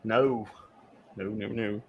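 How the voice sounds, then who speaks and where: A young man co-hosting a casual podcast from home, talking in a loud voice that carries and telling stories in a chatty way. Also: lowish voice, steady tone, slow, phone-quality sound, over a noisy crowd. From United States. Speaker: podcast co-host. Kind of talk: casual chat. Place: home, remote recording. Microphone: home podcast mic.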